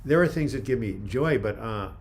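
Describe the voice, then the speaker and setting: An older man hosting a casual live chat, reading viewer comments in a calm, soft-spoken way with frequent restarts. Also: medium pitch, normal speed. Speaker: livestream host.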